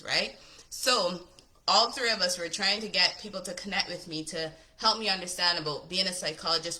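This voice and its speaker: Casting: chatty woman from Barbados, a coach, with a fairly low, bright voice. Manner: chatty, upbeat, says "right?" often. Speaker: business coach. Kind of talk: casual talk to camera.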